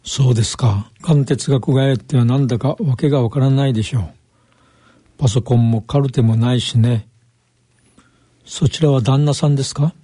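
A man's voice: mid-range voice, moderate pace, warm tone, husky, no room sound, close to an everyday voice. A man playing a kindly doctor counselling a couple in a radio drama, informal and advisory. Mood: welcoming. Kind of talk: amateur radio drama acting.

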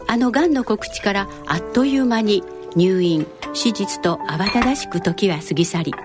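A woman narrating a scripted radio drama. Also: mid-range voice. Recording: clear music behind the voice.

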